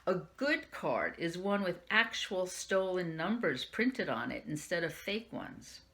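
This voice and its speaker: A woman's voice: on the low side, big pitch swings, measured pace, fairly bright tone. A woman librarian reading a young adult novel aloud in the first person, lifting her voice for the quoted lines. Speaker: youth services librarian.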